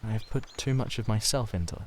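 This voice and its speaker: A man's voice: fairly low pitch, quick pace, darkish tone, lightly acted. A man voicing a reserved, sensitive painter, earnest and hesitant, in a dramatised novel reading.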